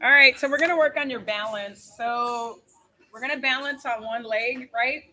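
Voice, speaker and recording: A woman's voice: a little high, normal speed, sharp tone. A young woman personal trainer in casual, blunt chat, swearing and laughing easily, then coaching a workout.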